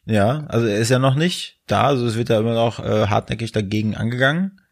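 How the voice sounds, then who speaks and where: A man with a stuffy nose and sore throat, co-hosting a casual podcast and talking haltingly with many fillers. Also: mid-range voice, gravelly. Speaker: podcast co-host. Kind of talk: casual chat.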